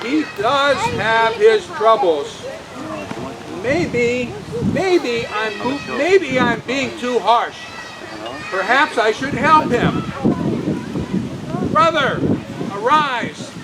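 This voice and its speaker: A woman voicing a wealthy, blustering but soft-hearted puppet master in a theatrical outdoor children's show. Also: on the high side, animated, a loud crowd. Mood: relenting. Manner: theatrical, exclaiming, deliberate. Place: outdoors at an open-air show. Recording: windy, noisy outdoor live recording.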